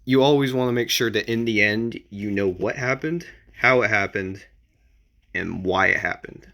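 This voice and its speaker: Low-voiced man with a creaky edge. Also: moderate pace, sharp tone. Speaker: amateur analog horror video creator giving advice. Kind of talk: casual explainer monologue.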